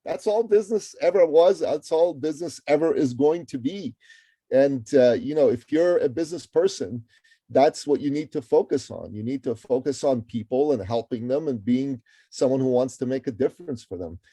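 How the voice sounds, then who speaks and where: A middle-aged man from Iran speaks as an interview guest, his voice mellow and dark. He talks with energy and emphasis, stacking phrases and repeating words as he builds his point. The sound is dry and close, with little room. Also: mid-range voice, normal speed, breathy edge, no room sound. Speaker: guest, author and entrepreneur. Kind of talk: interview conversation.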